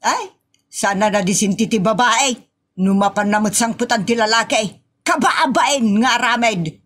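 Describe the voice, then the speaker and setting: A woman's voice: on the high side, measured pace, a bit bright. A woman playing a strict, nagging older auntie with an Ilocos accent from the northern Philippines in a comedy audio drama. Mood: scolding.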